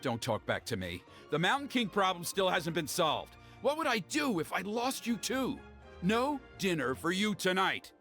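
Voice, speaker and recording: Middle-aged tribe elder dad, game character, formal, lively swings in his voice, music underneath. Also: high-pitched, moderate pace, exaggerated voice. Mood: angry scolding. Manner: expressive, formal, stammers when flustered. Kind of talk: acted game dialogue.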